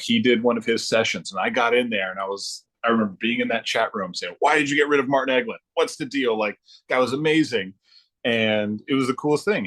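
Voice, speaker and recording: A man chatting casually as a podcast guest, in a halting, rambling way with frequent ums and repeated words. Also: fairly low pitch, a bit bright, low-quality recording. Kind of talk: casual chat about hobbies.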